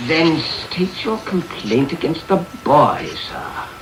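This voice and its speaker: An actor playing a bad-tempered, haughty English magistrate in a period radio play, pompous, curt and scornful. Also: male voice, a little high, expressive, takes it slowly. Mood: outraged, bullying. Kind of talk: period radio drama acting. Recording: noisy echoey medium-wave radio taping.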